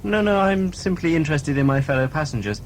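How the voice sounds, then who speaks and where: A man's voice: on the high side, lively intonation, brisk. A man playing a shady, scheming, prying and bossy passenger in an audio drama. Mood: smooth, prying. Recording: noisy hobby cassette recording.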